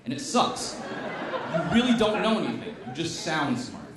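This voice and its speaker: A man's voice: a little high, big pitch swings, normal speed, background noise. A man, a chemistry professor, giving a stand-up style science talk with wry, emphatic, punchy delivery. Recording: echoey room, voice from a distance.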